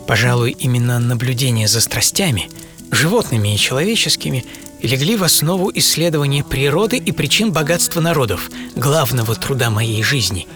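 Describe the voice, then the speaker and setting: A man's voice: mid-range voice, natural voice. A man playing a witty, self-assured philosopher in his forties, musing aloud in a first-person radio play with an ironic, theatrical manner. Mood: thoughtful, self-assured. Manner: ironic, theatrical, swinging from musing to excited exclamation. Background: music.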